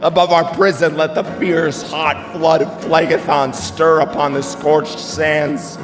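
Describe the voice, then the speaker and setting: Man acting slow, anguished father in cavernous reverb. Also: a little high, flat delivery, natural voice. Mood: despairing, imploring. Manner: slow, grave, grandiloquent, halting. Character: anguished ailing father, estranged brother of a tyrant king. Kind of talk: classical tragedy stage acting, declamatory verse. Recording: long hall reverb, music underneath.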